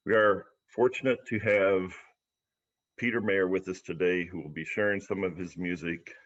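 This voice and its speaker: A man hosting a service, giving a formal, somewhat halting welcome and announcements that sound partly read out. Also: deep voice, steady tone. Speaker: service host. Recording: dull lo-fi video-call audio.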